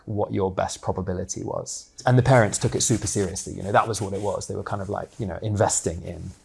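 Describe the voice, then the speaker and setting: A man in his twenties who grew up in Hong Kong, speaking as an interview guest in a candid, animated, conversational way with many casual fillers. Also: on the low side, creaky, slightly rough. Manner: candid and animated, frequent fillers like "like" and "you know", mild swearing. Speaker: guest, co-op founder.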